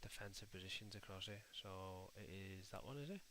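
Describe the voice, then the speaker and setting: A man's voice: on the low side, brisk, background noise. A man from Liverpool with a Scouse accent, hosting a live stream and chatting casually to viewers while he builds in a game editor, calling them mate and muttering to himself as he works. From Liverpool, England. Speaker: livestream host.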